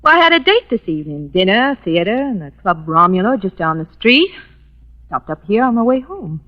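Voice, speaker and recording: A woman's voice: mid-range voice, very animated, a little fast, muffled recording, lightly acted. A woman playing a polite, flustered young office secretary in old-time radio style, with a hesitant, explaining manner on an old recording. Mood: flustered, defensive. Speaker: actress in a radio drama.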